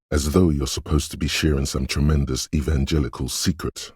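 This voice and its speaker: A man voicing an eerie, brooding inner voice of intuition and dread in a game, narrating in the second person with a deliberate, portentous, theatrical delivery. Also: very deep voice, a little mellow, breathy edge, no room sound, clean studio sound, full character voice.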